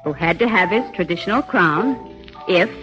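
An actress playing a brisk, eager American newspaper reporter in a 1940s radio crime drama. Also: female voice, fairly low pitch, lively intonation, lightly acted. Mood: explaining. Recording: muffled 1940s radio recording with music.

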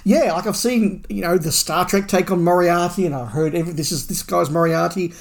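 A man's voice: high-pitched, a little fast, vocal fry. Male podcast co-host, conversational and musing, informal news-chat delivery. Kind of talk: casual discussion of Doctor Who fandom news.

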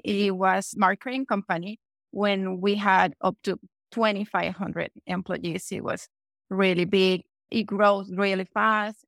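A woman in her forties from Venezuela, speaking English with a Venezuelan Spanish accent, her voice edged with a gravelly fry. She talks confidently as a podcast guest, stopping often to check in with "okay". The sound is close and dry. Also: mid-range voice, fairly bright tone, no room sound. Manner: confident, says "okay" often, self-corrects. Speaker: guest entrepreneur. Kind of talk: podcast interview.

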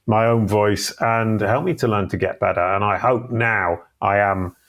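A man's voice: on the low side, normal speed, a bit bright. A man speaking as a guest in a casual interview, self-deprecating and chatty, often restarting his sentences. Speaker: guest on a live video show.

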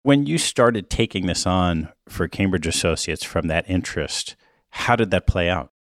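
A man's voice: deep voice, expressive, normal speed, no echo, studio quality. A man hosting an interview, asking brief, curious follow-up questions. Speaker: podcast host.

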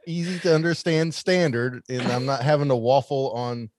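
A man bantering casually on a podcast panel. His voice is of average pitch, and he talks in an informal conversational way. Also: fairly even intonation, normal speed, a crowd in the background.

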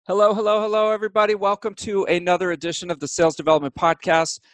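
Male podcast host, somewhat high voice, upbeat and welcoming, dry sound. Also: level tone, fast talker, fairly bright tone, no room sound. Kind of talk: podcast interview hosting.

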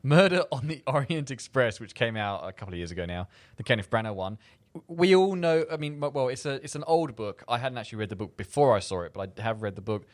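A man's voice: medium pitch, lively intonation, fast talker, no room sound. A man chatting casually as a film podcast panelist, enthusiastic and emphatic. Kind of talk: casual chat.

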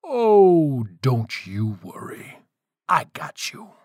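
Man acting an indignant, animated giant spirit father. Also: fairly high pitch, extremely slow, no echo, clean studio sound, full character voice. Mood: menacing. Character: enormous wrathful spirit, irritable hot-tempered father.

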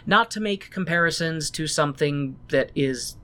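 A man co-hosting a casual discussion of a show, retelling scenes in a thoughtful, animated way. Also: fairly high pitch, normal speed. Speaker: podcast co-host reviewing a show.

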